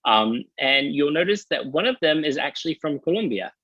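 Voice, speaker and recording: A man's voice: a little high, animated, moderate pace, sharp tone, dry recording. A man presenting a university program in a webinar over a video call, friendly with frequent ums. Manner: friendly, many filler ums.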